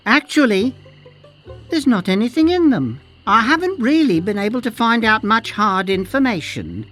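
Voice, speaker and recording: A woman's voice: fairly high pitch, big pitch swings, moderate pace, fairly bright tone, faint music behind. An actress playing a cagey, knowing woman cartographer in a pirate video game, chatty and conspiratorial. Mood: storytelling. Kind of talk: video game dialogue acting.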